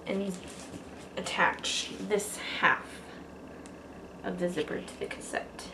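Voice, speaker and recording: Female craft tutorial host, informal step-by-step delivery, medium pitch, roomy echoing sound. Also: fairly even intonation, slow pace. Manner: informal, addresses viewers as guys. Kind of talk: casual step-by-step how-to instruction. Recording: echoey room with faint background noise.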